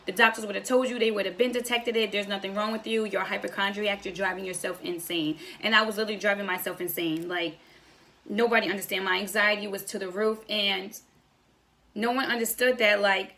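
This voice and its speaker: Young woman vlogging, chatty personal story, kinda sharp bright voice w some fry. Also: medium pitch, steady tone, brisk. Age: young adult.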